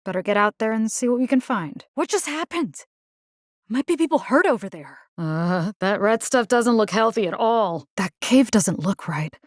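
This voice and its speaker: A woman's voice: medium pitch, moderate pace, no echo, clean studio sound, close to an everyday voice. A woman voicing a plucky, caring video game combat engineer, casual and wry. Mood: alert, practical. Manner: casual, wry, expressive, slipping into Spanish slang. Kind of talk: video game character voice lines.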